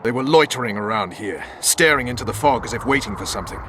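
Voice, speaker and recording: An actor playing a tough, proud soldier commander in a video game, with a sardonic, commanding manner. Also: male voice, medium pitch, lively intonation, fast, sharp tone, a little hiss or hum. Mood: urgent, reporting.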